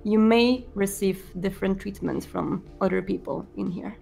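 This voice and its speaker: A woman plays a commanding vampire prince in a tabletop roleplay, protective yet menacing. The speech is measured and formal, with a creaky edge, over faint background music. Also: medium pitch, a little mellow. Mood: solemn, firm.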